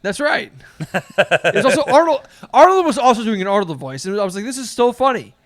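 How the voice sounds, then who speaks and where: A young man co-hosting a podcast in casual chat, animated and riffing with laughs. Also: sits high, fairly quick, no room sound. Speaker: podcast co-host.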